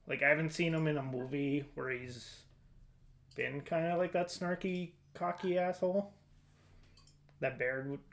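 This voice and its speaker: A man's voice: fairly high pitch, fairly even intonation, a little slow, long pauses, a bit bright. A man hosting a casual podcast discussion, conversational and a little hesitant, often trailing off. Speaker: podcast host.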